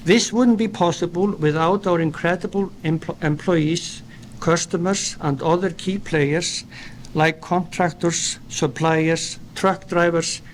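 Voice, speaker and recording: A middle-aged man from Iceland with an Icelandic accent, a company founder reading a prepared speech in a formal, measured way at an indoor event. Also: high voice, slight background noise. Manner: formal, measured delivery read from paper. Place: indoor event at a dairy plant.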